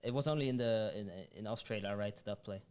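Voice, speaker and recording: A man's voice: on the low side, fast, darkish tone, clear, resonant tone. Hesitant stammering man host. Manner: hesitant, stammering, repeats words. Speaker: podcast host. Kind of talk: casual interview chat.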